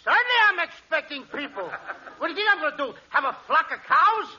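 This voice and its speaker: A man performing a 1940s radio comedy routine, childlike, wisecracking and deliberately confused. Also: very high-pitched, sing-song, bright, forceful tone. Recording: muffled hissy 1940s radio broadcast.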